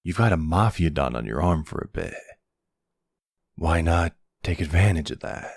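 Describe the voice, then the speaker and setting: Man playing a deep, gravelly, flirtatious mafia don. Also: animated, moderate pace, no room sound, studio quality, full character voice. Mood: teasing, flirtatious.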